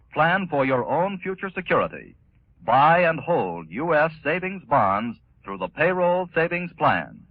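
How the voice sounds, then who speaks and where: Husky, lively American radio announcer man. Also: medium pitch. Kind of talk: 1940s scripted radio announcement. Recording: old tinny 1940s radio recording.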